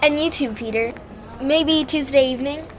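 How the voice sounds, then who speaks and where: A woman playing an earnest, excitable young girl in an audio drama, talking in a hesitant, conversational way. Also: high voice, normal speed, slight background noise, lightly acted. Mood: friendly.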